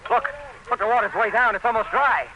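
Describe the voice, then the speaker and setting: An actor playing an earnest, anxious commissioner with a solemn, dramatic delivery, as in an old-time radio drama. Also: male voice, high-pitched, a little fast, sharp tone, slight background noise, poor audio. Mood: alarmed. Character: earnest, anxious commissioner recounting a desperate siege. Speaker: narrator and character.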